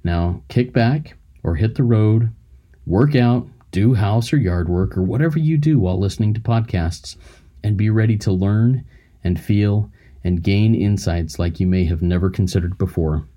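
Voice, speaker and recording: Low-voiced, mellow male podcast host. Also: moderate pace. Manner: earnest, encouraging. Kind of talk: scripted podcast intro, then casual interview.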